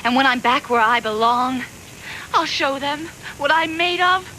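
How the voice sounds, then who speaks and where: An actress playing a bitter, haughty, ambitious rival in a dubbed anime, dramatic and scornful. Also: female voice, unhurried, bright, forceful tone. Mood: vengeful, rising fury. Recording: noisy VHS tape, rumble behind.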